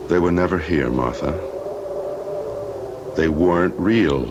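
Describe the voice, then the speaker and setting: An actor in an old-time radio drama playing an uneasy, exasperated husband. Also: male voice, a bass voice, slow pace. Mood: shaken, dismissive. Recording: dull, noisy old radio recording.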